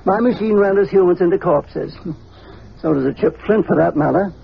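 An actor playing an eccentric, irascible older scientist in an old-time radio drama, pompous and pedantic. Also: male voice, high-pitched, normal speed, vocal fry. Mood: grandiose, scornful. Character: eccentric, irascible older male scientist, self-important inventor. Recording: muffled, noisy vintage radio recording.

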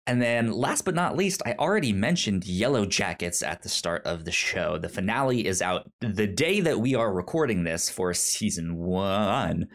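A man hosting a podcast in a casual film review chat, with a halting delivery that often repeats and trips over words. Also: deep voice. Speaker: podcast host.

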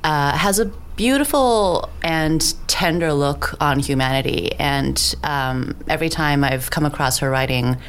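A woman. She is a stage actor speaking as an interview guest, thoughtful and measured in how she talks. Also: sits low, slight background noise. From California Bay Area, born in Hong Kong. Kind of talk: casual interview chat. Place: radio studio.

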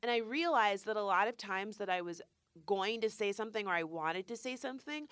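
A woman chatting casually on a vlog, animated and rambling, full of "like". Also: medium pitch, fairly quick, no echo, studio quality. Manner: animated, rambling, heavy use of "like". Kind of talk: casual chat.

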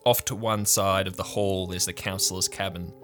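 A man's voice: deep voice, little pitch movement, normal speed, fairly bright tone, faint music behind. A man narrating an improvised tabletop game as game master, in a descriptive storytelling way.

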